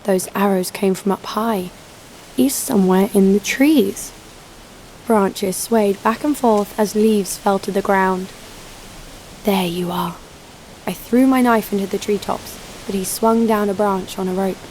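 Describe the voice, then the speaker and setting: An actress playing a tough, sharp-tongued young woman fighter, narrating and speaking in an audio drama with a sardonic edge. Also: mid-range voice, steady tone, measured pace, slight background noise, natural voice, rough recording. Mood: narrating, wry. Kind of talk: audio drama first-person narration and dialogue.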